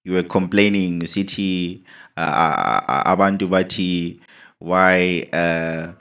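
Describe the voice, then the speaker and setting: Deep-voiced podcast host. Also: male voice, slow, fairly bright tone. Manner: prompting questions, switches languages mid-sentence. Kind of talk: casual interview chat.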